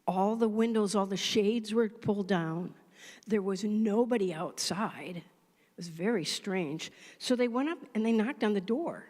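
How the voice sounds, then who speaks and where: A woman telling a folk story at a church service, animated and expressive. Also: mid-range voice, a little slow. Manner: animated, expressive, acting out voices. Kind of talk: storytelling for all ages. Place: church sanctuary. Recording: long church hall reverb.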